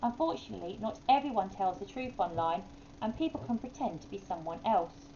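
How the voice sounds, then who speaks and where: Woman playing a self-assured wise owl, animated delivery. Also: mid-range voice, a little fast, muffled recording, a little hiss or hum, close to an everyday voice. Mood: serious, advising.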